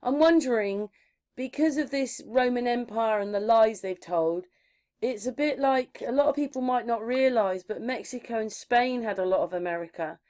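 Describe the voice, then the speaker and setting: A woman's voice: a little high, moderate pace, darkish tone. A woman in casual chat who keeps asking questions. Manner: inquisitive, questioning.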